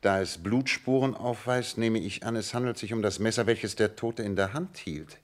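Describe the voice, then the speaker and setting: An actor playing a shrewd, courteous private detective in a German radio play, pensive and probing in manner. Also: male voice, fairly low pitch, normal speed, natural voice. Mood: intrigued. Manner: pensive, probing, polite, murmured acknowledgements.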